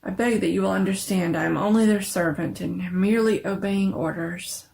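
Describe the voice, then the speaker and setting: A woman's voice: medium pitch, moderate pace, vocal fry, darkish tone, close to an everyday voice. A woman voicing a reluctant, formal male company messenger in a dramatic story reading. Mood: formal, apologetic.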